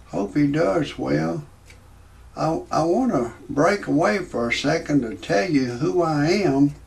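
Slow gravelly man in his sixties. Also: a little high, darkish tone. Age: 60s. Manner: slow, halting delivery with many "uh" fillers and restarts. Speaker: comedy storyteller. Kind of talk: rambling parody monologue.

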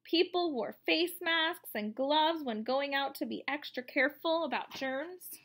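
A woman in her thirties reading a picture book aloud to children, explaining as she goes in an expressive way. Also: sits high, a little slow. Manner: expressive, explanatory, addressing young listeners. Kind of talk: read-aloud storytelling for children with asides. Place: room at home, indoors.

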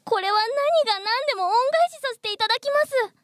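A woman's voice: very high-pitched, a little fast, a bit bright, no room sound, clean studio sound. A woman voicing a polite, insistent, earnest mosquito in human form in a radio comedy drama. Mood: grateful, eager.

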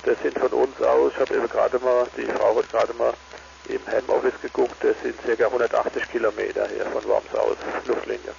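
Gravelly man on a noisy radio link. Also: mid-range voice, level tone, fairly quick, darkish tone. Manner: tags statements with "ne?". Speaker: amateur radio operator. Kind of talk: casual two-way radio chat. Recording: dull, noisy ham radio transmission.

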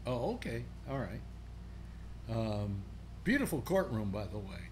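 A man's voice: on the low side, very animated, measured pace, darkish tone. An older man hosting a casual panel chat over a video call, musing aloud and restarting his sentences. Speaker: host. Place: home room on video call. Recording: faint background noise.

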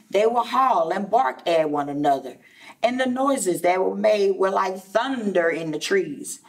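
A middle-aged woman reads a children's story at a slow pace in a slightly low voice. She is deliberate and explanatory, like a teacher at storytime, repeating phrases for small listeners. The microphone sits some distance away in a classroom. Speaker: preschool teacher. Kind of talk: read-aloud storytime for young children with explanatory asides. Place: indoor classroom.

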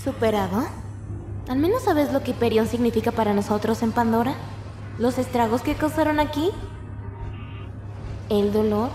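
A blunt, sarcastic young outlaw voiced by a woman for a game fandub in Latin American Spanish. Her voice is on the high side, in an echoey space with some music underneath. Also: normal speed, long pauses, dark tone, natural voice. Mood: irritated. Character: snarky, tough young female outlaw. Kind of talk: amateur fandub of a video game.

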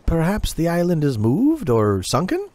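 A man's voice: a little high, wildly swooping pitch, unhurried, darkish tone, voice pushed up. A man voicing an earnest young male apprentice navigator on a ship.

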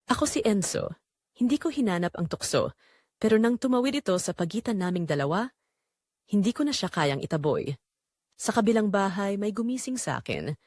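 A woman narrating an audio drama in the first person as a wistful young man, in an earnest, measured, dramatic storytelling manner. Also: medium pitch, very animated, darkish tone, dry recording, clean studio sound. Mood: wistful, intimate. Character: wistful young man recalling a secret romance.

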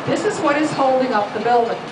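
A fairly high-voiced woman guides a river boat tour, explaining sights patiently with many "uh" pauses. Her voice comes through a public address speaker, recorded from among the passengers. Heavy engine and outdoor noise sits over everything. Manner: informative, explanatory, with frequent "uh" pauses. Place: open-air tour boat on a city river. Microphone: public address speaker, recorded from the audience. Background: boat engine and river ambience.

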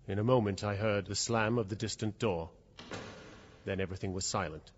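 A man's voice: on the low side, brisk, lightly acted. An actor playing a formal, intense man in mortal fear, telling his story in a radio drama, on a dull, noisy recording. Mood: hushed dread. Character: terrified male narrator trapped with a predatory cat. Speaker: narrator and lead character.

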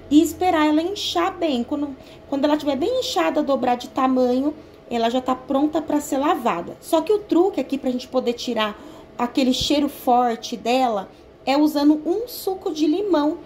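Brazilian woman, high-pitched, friendly home-cook vlogger talking to a phone camera in her kitchen, faint background hiss. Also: a little mellow. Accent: Brazilian Portuguese. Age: young adult. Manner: chatty, explains step by step with frequent "tá?" check-ins. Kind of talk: casual cooking tutorial.

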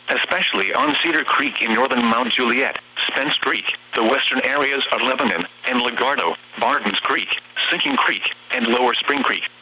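A synthetic text-to-speech man's voice reading formal, measured emergency weather bulletins as an automated announcer over the radio. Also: mid-range voice, background noise. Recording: buzzy, humming weather radio broadcast.

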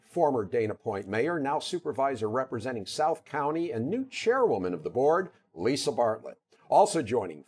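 Animated middle-aged male TV host. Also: mid-range voice, breathy edge. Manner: lively, stumbles over fillers when asking questions. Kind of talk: TV public affairs interview. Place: TV studio. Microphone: lapel mic.

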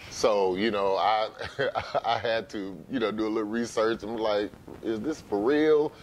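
A middle-aged American man giving casual interview answers as a guest, with halting, conversational phrasing. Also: fairly even intonation, rough recording. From Memphis, United States. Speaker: interview guest, sports arena announcer. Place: living room, seated on couch. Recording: faint low background noise.

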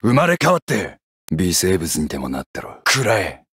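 A man voicing a brooding, scornful video game gunman who longs for death, with a menacing, listless, husky delivery. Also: low voice, wildly swooping pitch, moderate pace, fairly bright tone, no echo, clean studio sound, exaggerated voice. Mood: cold, scornful. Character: brooding death-seeking male gunman, scornful, longing for rebirth. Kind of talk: anime-style video game voice acting.

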